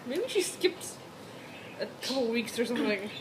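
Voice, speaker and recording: A woman's voice: on the high side, very animated, normal speed, noisy background. A woman chatting casually as a co-host, making sarcastic, incredulous comments on a reading. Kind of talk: casual joking chat.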